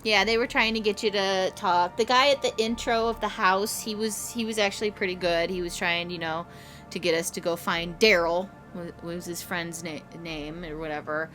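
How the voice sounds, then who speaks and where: A woman's voice: fairly low pitch, steady tone, normal speed, a bit bright. A woman co-hosting a casual review, talking informally and candidly as she recalls what happened. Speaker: co-host of a haunted attraction review. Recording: music under the talk.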